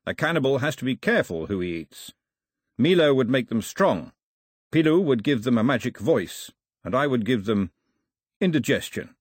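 A man voicing a serious, proud young island chief with a measured, blunt way of speaking. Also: mid-range voice, sing-song, breathy edge, no room sound, studio quality. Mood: dry.

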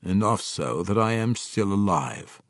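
A proud, scheming dark elf wizard as a male narrator plays him speaks with formal self-assurance. The voice is fairly low and recorded dry. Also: lively intonation, unhurried, dry recording. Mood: smug.

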